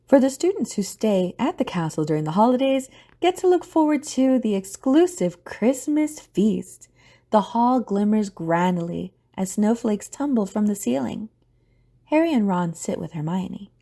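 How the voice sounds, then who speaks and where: A woman's voice: medium pitch, very animated, a little slow, mellow. A woman narrating an audio drama from a script as a storyteller.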